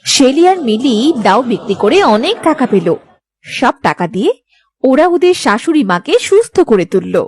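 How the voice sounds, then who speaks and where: A woman giving scripted storybook narration for an animated moral tale. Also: high-pitched, moderate pace, husky, dry recording.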